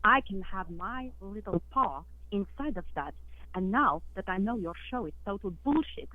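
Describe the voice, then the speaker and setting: A woman's voice: medium pitch, animated, normal speed, dry recording. A woman voicing a lizard person who poses as a Russian caller, with a put-on Russian accent and broken English. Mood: scheming. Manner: broken English, earnest and touchy. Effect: phone line. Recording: tinny phone line.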